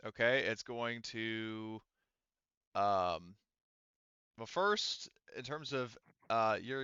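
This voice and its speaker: A man's voice: medium pitch, bright, forceful tone, smooth, dry recording, highs cut off. A man speaking casually as a game master, narrating the action and explaining rules in an informal, mildly profane way.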